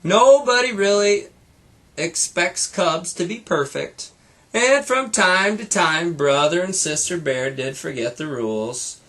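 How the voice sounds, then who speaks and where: A man reading a children's story expressively, pausing to explain and comment for young listeners. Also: sits high, animated, a bit bright. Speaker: storybook reader for schoolchildren. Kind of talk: expressive children's read-aloud.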